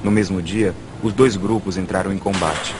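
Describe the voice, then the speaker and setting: A man's voice: deep voice, steady tone, moderate pace, very noisy. A man with a Brazilian accent delivering scripted documentary narration. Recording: voice over loud music. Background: prominent background music.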